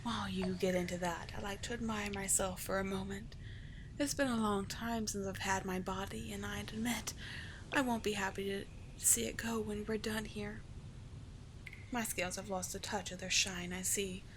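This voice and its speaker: A regal, doting sea witch, played by a woman, speaks in a soft, breathy, medium-pitched voice that often sinks toward a whisper. She coaxes and teases in formal storybook phrases, as a soothing mentor in an ASMR roleplay. The recording is close, with a faint low rumble beneath. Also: steady tone, normal speed, close to an everyday voice. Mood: soothing, musing.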